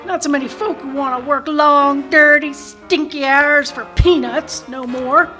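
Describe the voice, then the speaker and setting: A woman's voice: sits high, steady tone, unhurried, bright, forceful tone, faint music behind. A woman playing a brassy, flirtatious, bossy saloon madam with folksy frontier speech in an amateur radio western. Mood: sassy. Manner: sassy, folksy frontier grammar. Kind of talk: amateur old-time radio play acting.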